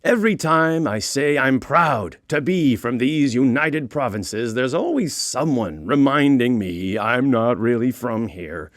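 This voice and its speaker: A man's voice: medium pitch, no echo, studio quality. A man voicing a proud, indignant sixteenth-century Dutch prince in a comic audiobook, grand and mock-solemn in delivery. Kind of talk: comic audiobook monologue in character.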